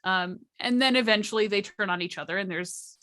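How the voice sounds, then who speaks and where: A woman's voice: mid-range voice, fairly quick, bright, forceful tone. A woman casually explaining roleplaying storytelling, emphatic and drawing out her key words. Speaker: panelist at a tabletop roleplaying storytelling workshop.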